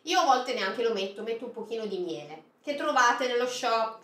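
Lively, chatty woman presenter. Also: a little high, normal speed. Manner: animated, talks straight to viewers, asks them questions. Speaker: food box presenter. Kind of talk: chatty product unboxing with recipe tips. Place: small indoor studio set with produce crates. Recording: echoey room.

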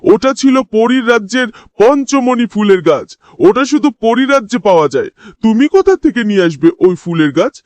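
A man's voice: extremely high pitch, lively intonation, moderate pace, darkish tone, no echo. A man voicing an angry, cruel village landlord in a fairy-tale cartoon, menacing, scornful and commanding. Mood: mocking.

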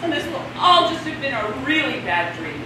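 A woman's voice: high-pitched, big pitch swings, normal speed. A woman acting an indignant woman in crisis in a stage play, projecting her monologue with emphatic, impassioned delivery. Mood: indignant disbelief. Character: indignant, anguished women in crisis over lost rights. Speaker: stage actor. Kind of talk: theatrical monologue in a one-act play. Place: indoor stage before a seated audience. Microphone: distant camera. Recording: echoey, noisy hall from far off.

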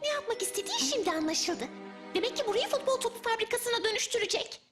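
An actress playing a curious, knowledgeable little child in a children's cartoon, speaking in an earnest, inquisitive way. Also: female voice, extremely high pitch, lively intonation, quick pace, over music. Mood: realizing.

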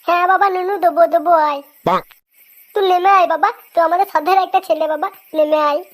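A woman's voice: very high-pitched, steady tone, fast. A woman voicing an exasperated but indulgent village mother in a comic cartoon, colloquial and placating. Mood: coaxing. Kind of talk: comic Bengali cartoon dubbing.

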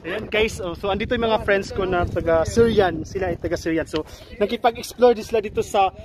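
A man's voice: high voice, fast, a crowd in the background. A man in his thirties with a Filipino accent, chatting casually as an outdoor travel vlogger into a phone. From Philippines. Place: outdoors in a desert valley. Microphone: phone at arm's length. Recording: music over outdoor phone audio. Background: music.

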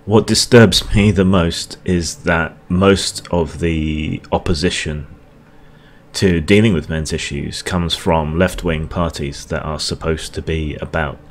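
Weary, halting deep-voiced man. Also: fairly even intonation. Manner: weary, halting, frequent ums and restarts. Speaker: video blogger. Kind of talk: unscripted reaction monologue.

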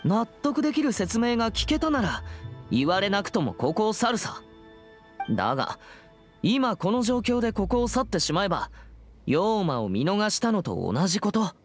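A woman's voice: mid-range voice, big pitch swings, normal speed, soft background music, natural voice. A woman voicing an earnest, stern teenage boy exorcist in an anime game, serious and formal. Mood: indignant, stern.

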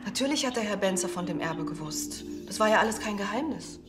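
A woman's voice: medium pitch, lively intonation, fairly quick, close to an everyday voice. A woman playing a strained, unwell widow being questioned by police in a TV crime drama. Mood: defensive. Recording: music behind the voice.

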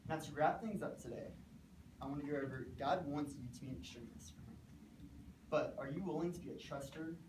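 A teenage man delivers an earnest, measured sermon in short phrases, his voice sitting in the middle of the usual range. He is recorded by a camera well back from the stage, so the room's echo is loud and carries behind every word, with a steady ambient hiss underneath. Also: mid-range voice. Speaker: student preacher. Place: classroom with a small stage, indoors. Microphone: far camera, no microphone. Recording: echoey room from far off.

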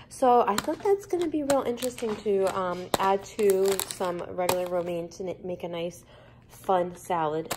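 A woman's voice: mid-range voice, noisy background. A woman in her thirties chatting casually in her home kitchen, rambling through a grocery haul with plenty of fillers. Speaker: vlogger.

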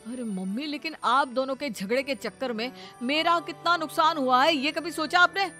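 A woman's voice: high voice, moderate pace, pushed and bright, faint music behind. A woman voicing an exasperated young husband who complains and reproaches in a family cartoon. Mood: disappointed. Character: exasperated young husband, an office worker, quick to blame. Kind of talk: animated family drama voice acting.

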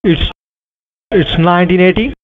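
A man's voice: high voice, a little slow. A man posing as an officer on a phone call, speaking in an insistent, formal way and repeating his instructions. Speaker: scam caller posing as an officer. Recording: tinny phone line.

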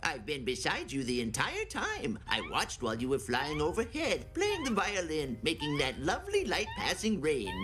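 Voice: medium pitch, huge pitch swings, normal speed, few pauses. Theatrical lovesick old fairy elder. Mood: flattering. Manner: theatrical, flowery speech with emotional outbursts. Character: lovesick, fussy old male fairy elder, pompous yet scheming. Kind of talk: anime dub acting. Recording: loud music under the voice.

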